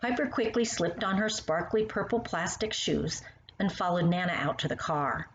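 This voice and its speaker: A woman reading poems and stories aloud to young children in an expressive, animated manner, speaking directly to the listener. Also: on the low side, moderate pace. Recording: roomy, muffled sound with faint noise.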